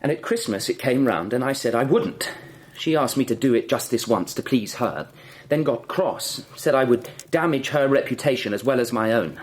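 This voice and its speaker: Man playing an excitable, scornful young Edwardian student, English. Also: mid-range voice, moderate pace, close to an everyday voice. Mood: exasperated. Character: young male Edwardian student, upper-class, intense, scornful, petulant. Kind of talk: period radio drama acting.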